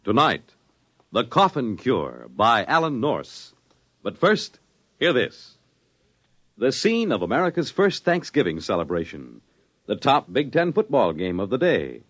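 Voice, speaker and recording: A man announcing a 1950s radio broadcast in a booming, emphatic way.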